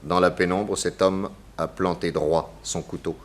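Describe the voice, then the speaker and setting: Deep-voiced, commanding detective. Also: male voice, steady tone. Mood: stern, explaining. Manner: theatrical, deliberate, accusatory, lecturing. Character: shrewd, authoritative detective exposing a murderer. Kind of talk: 1960s French radio drama acting.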